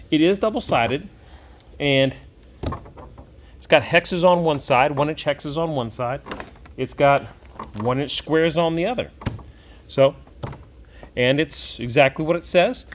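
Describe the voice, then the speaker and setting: A man's voice: mid-range voice, slight background noise. A man hosting a casual hands-on tabletop gaming product review, talking conversationally and thinking aloud as he goes.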